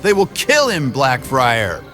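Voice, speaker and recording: A man's voice: medium pitch, huge pitch swings, takes it slowly, bright, forceful tone, faint music behind, voice pushed up, full character voice. A man playing a frantic father desperate to save his son in an audio drama. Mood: frantic, pleading.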